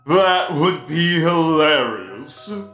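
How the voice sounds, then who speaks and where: A man's voice: a little high, lively intonation, drawn-out words, fairly bright tone, full character voice. A man voicing a friendly, theatrical, teasing giant robot spider DJ in a cartoon-style fan comic dub. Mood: amused. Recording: roomy echo, music behind. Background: music.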